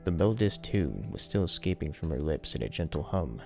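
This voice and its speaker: A man's voice: a bass voice, big pitch swings, a little fast, vocal fry, soft background music. A man giving a serious, dramatic reading of fiction as an audiobook narrator.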